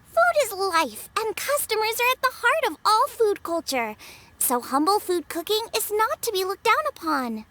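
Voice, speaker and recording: Cheery teen girl chef from a game, super high and animated. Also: moderate pace, exaggerated voice. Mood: earnest, warm. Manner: bubbly, eager, expressive. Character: perky, earnest teenage girl chef, cheerful and encouraging. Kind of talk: video game voice acting.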